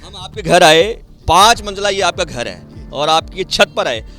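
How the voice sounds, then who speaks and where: A male TV reporter conducts a respectful interview, his voice high and bright. He keeps a polite, attentive tone with the guest, and faint music runs in the background. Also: lively intonation, normal speed. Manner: respectful, addresses the guest as sir. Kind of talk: TV interview with introductions.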